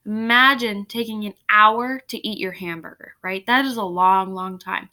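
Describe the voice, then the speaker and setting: Bright-voiced woman teacher reading aloud. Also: medium pitch, fairly even intonation, moderate pace. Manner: explanatory, often checking in with okay and right. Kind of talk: storybook read-aloud with casual teaching asides.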